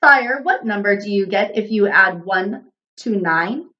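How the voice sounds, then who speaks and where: A woman's voice: medium pitch, animated, measured pace, pushed and bright, lo-fi sound. A woman voicing an earnest, eager number character, a hopeful young inventor in a children's storybook.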